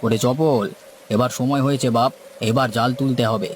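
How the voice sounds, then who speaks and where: A man's voice: medium pitch, fairly even intonation, moderate pace, slight background noise, lightly acted. A man playing a seasoned village fisherman in a cartoon story, instructing in colloquial rural speech with a cautious, fatalistic manner. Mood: purposeful. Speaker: cartoon voice actor. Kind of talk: dramatised Bengali cartoon story dialogue.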